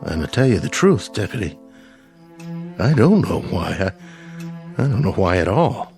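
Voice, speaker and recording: A stern, weary small-town sheriff in a radio play. His voice sits low and he speaks gravely, with music running under the scene. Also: big pitch swings, normal speed, a little mellow, full character voice. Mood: irritated. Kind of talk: radio drama acting.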